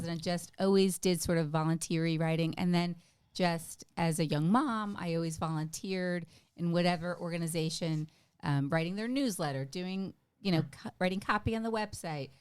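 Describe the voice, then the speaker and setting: Middle-aged woman guest, low-ish voice, chatty and self-deprecating, dry close sound. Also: normal speed, darkish tone, clean tone, no echo. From United States. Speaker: podcast guest. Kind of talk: casual interview chat.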